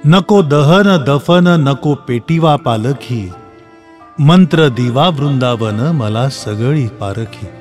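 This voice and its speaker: A man reads in a measured, expressive and solemn way, as in a poetry recitation. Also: medium pitch, faint music behind. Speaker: reader reciting a poem by a late relative.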